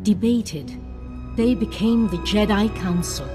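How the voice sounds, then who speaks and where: A woman's voice: medium pitch, takes it slowly, music in the background, lightly acted. A woman playing a scholarly, single-minded Jedi master in a video game, earnest and instructive. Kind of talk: video game dialogue acting.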